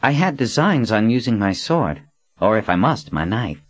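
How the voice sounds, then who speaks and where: A man's voice: on the low side, very animated, normal speed, dry recording, muffled recording, voice pushed up. A man voicing a cocky young male assassin, boyish and mocking, with falsely polite, offhand talk. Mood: smug.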